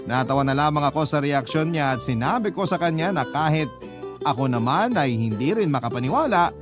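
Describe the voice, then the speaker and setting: A man's voice: fairly high pitch, expressive, a little fast. A man giving scripted first-person narration for a radio drama, confiding his story directly to the host, with music underneath.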